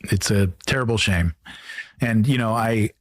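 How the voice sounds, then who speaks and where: A man in a conversational interview, soft-spoken and hesitant. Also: lowish voice, normal speed, dry recording, clean studio sound.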